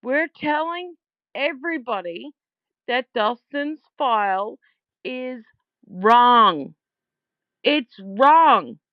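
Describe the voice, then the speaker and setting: Slow, blunt woman on dull voicemail audio. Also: sits high, no echo. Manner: blunt, aggrieved, emphatic. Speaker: caller leaving a voicemail. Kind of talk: informal complaint voicemail. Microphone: phone call.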